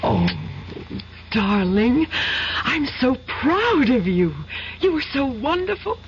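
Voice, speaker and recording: Gushing, animated young fiancée. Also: female voice, high-pitched, slow, full character voice. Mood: adoring, excited. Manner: gushing, affectionate, coaxing. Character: adoring, eager young fiancée, socially ambitious. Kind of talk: radio drama acting. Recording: muffled, noisy 1970s radio recording.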